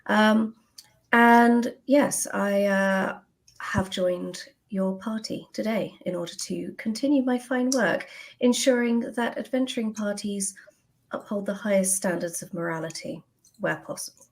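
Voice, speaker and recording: A woman playing a self-important, high-minded adventurer who speaks formally, in a tabletop roleplaying game. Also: unhurried, lightly acted. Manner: formal, pompous.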